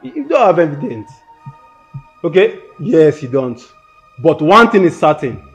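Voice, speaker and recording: A man playing a friend of the family who pleads with the couple to calm down. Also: fairly high pitch, measured pace, dull audio, faint music behind. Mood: pleading. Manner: pleading, soothing. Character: calming male friend of the family.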